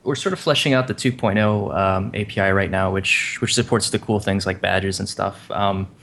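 A man co-hosting a casual tech podcast, easygoing and quick to agree. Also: low-pitched, steady tone, gravelly, fairly bright tone. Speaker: podcast co-host, developer.

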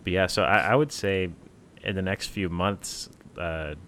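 A man co-hosting a casual tech podcast, talking in a relaxed way with many fillers and restarts. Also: low voice, measured pace, a little hiss or hum. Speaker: podcast co-host, software team member.

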